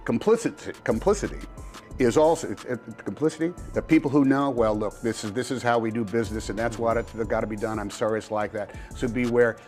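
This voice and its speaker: A man's voice: mid-range voice, a little fast, a little mellow, soft background music. A man giving a conversational interview answer, deliberating and repeating key words for emphasis.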